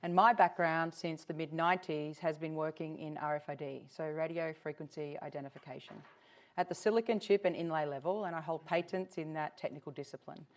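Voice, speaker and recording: A woman's voice: low voice, steady tone, a little fast. A middle-aged woman with an Australian accent giving a conference presentation as an invited company executive.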